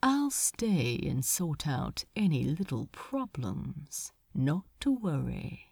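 A woman reader acts out a senior male demon in a fantasy story. He speaks low and slowly, with false kindness and patronizing softness over a clear threat. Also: very animated, no room sound, clean studio sound, full character voice. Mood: patronizing menace. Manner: soft, patronizing, quietly threatening.

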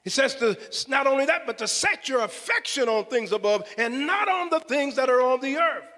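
A man's voice: very high voice, animated, normal speed, sharp tone. A man preaching a fervent sermon with scripture, exclaiming and repeating phrases for emphasis. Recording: echoey hall with long reverb.

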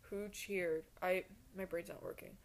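A woman's voice: on the low side, fairly even intonation, unhurried. A woman in her twenties hosting a solo podcast in a rambling, casual chat, blunt and self-deprecating, swearing freely. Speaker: podcast host.

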